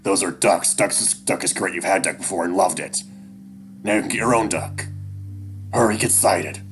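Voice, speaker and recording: A man's voice: deep voice, moderate pace, slight background noise, natural voice. A man voicing a stern, scornful animal father in an audio story, harsh, commanding and accusatory. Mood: urgent, commanding.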